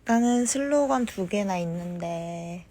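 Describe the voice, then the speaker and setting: A young woman chatting casually on a phone livestream, reading fan comments and answering them softly, at times slipping into whispers. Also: mid-range voice, lively intonation. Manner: slow, soft-spoken, drifts into whispers. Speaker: idol livestreaming to fans.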